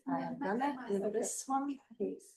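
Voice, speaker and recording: Woman helping with slides, short helpful bits, slow and easy. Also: medium pitch, expressive, a little mellow.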